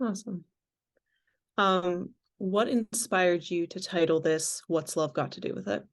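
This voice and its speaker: A woman's voice: lowish voice, fairly even intonation, a little slow. A woman interviewer asking short, polite questions. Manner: brief, polite.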